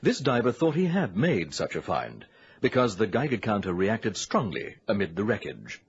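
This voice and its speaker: A man narrating an old radio adventure drama from a script in a grave, deliberate storytelling manner. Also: lowish voice, huge pitch swings, slightly rough. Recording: dull vintage radio recording.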